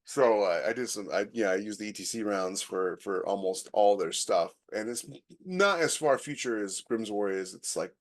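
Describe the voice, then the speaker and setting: Male co-host, fairly low pitch, informal conversational delivery, halting, thinking aloud. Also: lively intonation. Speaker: podcast co-host, science fiction author. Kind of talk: casual chat about sci-fi writing.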